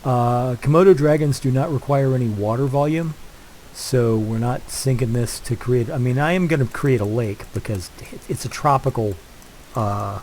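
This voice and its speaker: An older man with a head cold narrates his gameplay in a mellow, congested voice. He rambles casually, circling back with fillers and restarts. A faint hiss sits under the recording. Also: mid-range voice, poor audio. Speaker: let's play host.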